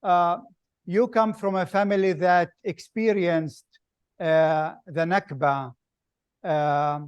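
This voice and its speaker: Slow, hesitant male host. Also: a little high, clear, resonant tone, dry recording. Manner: slow, hesitant, many uhs. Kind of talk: video call interview.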